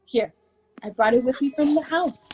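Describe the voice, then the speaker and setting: Woman acting a high-voiced, bossy, fond aunt. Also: dark tone, soft background music, natural voice. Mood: fond, bustling. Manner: brisk, motherly commands. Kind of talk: radio drama acting. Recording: clear background noise with clicks.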